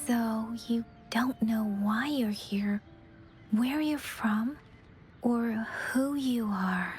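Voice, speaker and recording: Slow, gentle, high-voiced young scout. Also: female voice. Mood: calm, serious. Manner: soft-spoken, measured, polite. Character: gentle, calm, caring young female scout. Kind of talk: video game character voice acting. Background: background game music.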